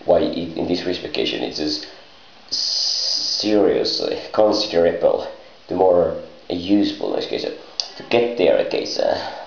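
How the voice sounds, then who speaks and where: A man improvising a rambling monologue in halting, disjointed phrases with garbled grammar. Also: sits low, vocal fry, a little mellow. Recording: muffled lo-fi, echoey room.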